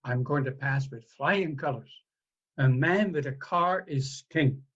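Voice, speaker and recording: A man's voice: mid-range voice, a little slow, a bit bright, husky. An older man acting a stubborn, boastful old husband in an amateur audio play. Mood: defiant. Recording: muffled audio play with music behind.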